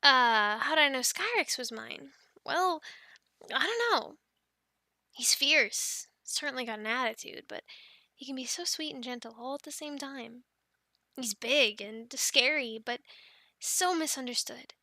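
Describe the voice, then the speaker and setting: A woman acting as a cheerful young female Viking dragon keeper in an audio roleplay. Her voice is high and bright, and she takes her time. She chats to the listener and coaxes her animals with tender affection. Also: lively intonation, a little slow, no echo, exaggerated voice. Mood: chatty, explaining. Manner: chatty, affectionate coaxing and explaining. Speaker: amateur audio roleplay performer.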